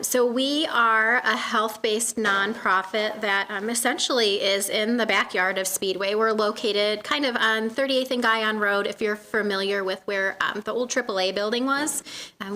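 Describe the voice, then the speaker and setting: A soft-spoken woman in her thirties giving a presentation to a community meeting in a room, with frequent "um" fillers. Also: medium pitch. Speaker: guest speaker from a donation nonprofit.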